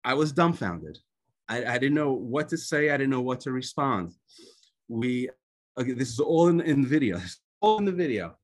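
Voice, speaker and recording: Halting man speaking on a video call. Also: medium pitch, very animated. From United States. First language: Hebrew. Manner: halting, with many fillers and restarts. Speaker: author and guest speaker. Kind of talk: personal storytelling talk.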